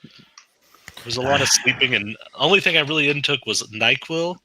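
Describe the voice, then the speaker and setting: A man co-hosting a podcast, easygoing and quick to laugh in casual chat. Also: mid-range voice, noisy background. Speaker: podcast co-host.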